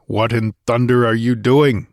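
A hard-bitten barbarian swordsman of pulp fantasy, played by a male narrator. He speaks gruffly and curtly, like one used to command. The recording is close and dry. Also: fairly low pitch, measured pace, no echo, lightly acted. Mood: acid annoyance. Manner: grunting, curt, acid.

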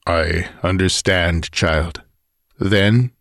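A man voicing a somber, gentle wandering swordsman with a soft, grave murmur, in an audiobook adventure. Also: sits low, fairly even intonation, very slow, creaky, no echo, studio quality, heavily acted.

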